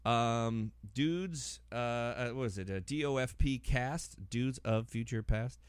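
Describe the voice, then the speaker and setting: A man's voice: mid-range voice, takes it slowly, clean tone, dry recording. A middle-aged American man hosting a podcast in a casual, rambling, self-deprecating way. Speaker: podcast host. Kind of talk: casual chat.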